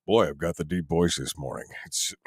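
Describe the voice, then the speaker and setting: A man's voice: sits low, big pitch swings, unhurried, vocal fry, fairly bright tone, husky, no room sound. A man hosting a live talk radio show in a studio, rambling with many fillers, joking and self-deprecating.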